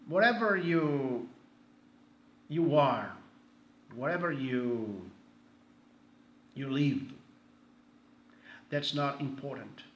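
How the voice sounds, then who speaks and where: Slow halting Brazilian man, echoey room. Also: medium pitch, expressive, dull audio. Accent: Brazilian Portuguese. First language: Portuguese. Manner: halting, searching for words, frequent restarts. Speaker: group member introducing himself. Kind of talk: casual self-introduction. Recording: echoey room, faint ambient noise.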